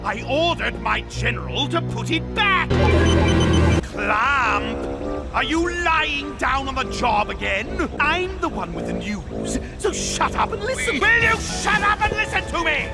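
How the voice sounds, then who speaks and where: Cartoon crocodile tyrant, very high voice, wildly swooping, pompous, grandiose, short-tempered, theatrical. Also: male voice, very animated, vocal fry, exaggerated voice. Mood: exasperated. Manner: pompous, grandiloquent, drawn-out emphatic delivery. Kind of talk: theatrical cartoon villain acting. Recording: cartoon soundtrack with loud music behind.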